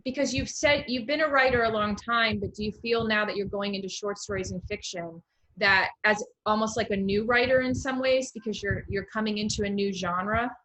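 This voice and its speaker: A woman speaks as the host of an informal interview about writing. Her pitch is average for a woman, and her manner is conversational and encouraging. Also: steady tone, normal speed, a bit bright, low-quality recording. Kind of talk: casual literary interview.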